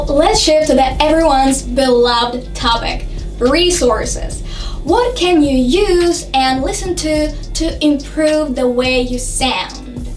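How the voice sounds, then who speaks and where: A woman's voice: sits high, roomy sound, recorded from a distance. A young woman with a Russian accent, earnestly explaining English pronunciation tips step by step to the camera. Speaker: English learning vlogger. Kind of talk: scripted to-camera advice. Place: dim room with lamp and globe.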